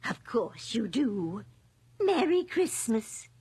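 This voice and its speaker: Husky, wistful old mother. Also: on the high side, sing-song, darkish tone, dry recording, heavily acted. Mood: gracious, warm. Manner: polite, wistful, unhurried. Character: gentle, lonely old mother living in the past. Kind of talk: radio drama acting.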